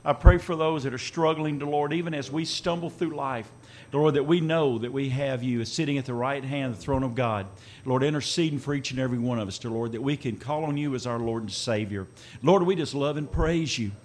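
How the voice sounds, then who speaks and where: A man with a voice raspy from an earlier service, leading a church prayer in a steady, repetitive cadence. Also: medium pitch, fairly quick, a little hiss or hum.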